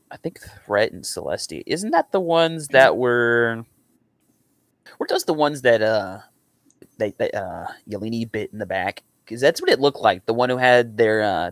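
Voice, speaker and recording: A man's voice: fairly low pitch, dry recording, clean studio sound. A young man chatting casually and earnestly in a rambling reaction at home. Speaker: reactor and amateur voice actor. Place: small room at home.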